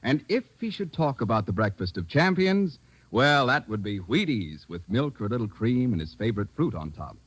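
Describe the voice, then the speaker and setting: An American man reading a folksy sponsor pitch as a 1940s radio announcer. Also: big pitch swings, normal speed, husky, rough recording.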